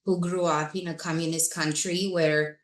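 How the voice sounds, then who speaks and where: A woman's voice: sits low, little pitch movement, normal speed, roomy sound. A woman from Romania, a guest telling her career story in an interview with frequent laughs. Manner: reflective, laughs often.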